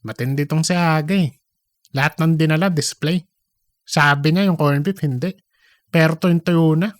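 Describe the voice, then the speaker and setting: A man's voice: on the high side, fairly even intonation, moderate pace, dry recording, studio quality, natural voice. A man playing a boastful, philandering office worker angling to be manager in a comedic audio story, gossipy and repetitive. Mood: bossy, grumbling. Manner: cocky, gossipy, repeats himself.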